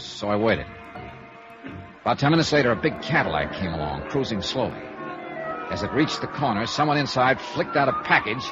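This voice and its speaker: A man acting a wry private investigator in vintage radio noir. The mid-pitched delivery is clipped and probing, rising and falling freely. The recording is dull and old, with music in the broadcast. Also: lightly acted. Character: hard-boiled, wry male freelance insurance investigator. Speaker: radio drama lead actor, narrator. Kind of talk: 1950s radio noir acting, first-person narration.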